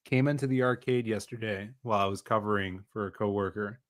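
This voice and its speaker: A man's voice: fairly low pitch, steady tone, brisk, a crowd in the background. Laid-back man telling a story. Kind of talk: casual chat.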